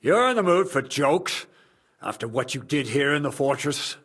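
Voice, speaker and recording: An actor playing an indignant, threatening medieval knight in a video game, confrontational in manner. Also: male voice, a little high, very animated, normal speed, fairly bright tone, husky, exaggerated voice. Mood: outraged. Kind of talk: video game cutscene acting.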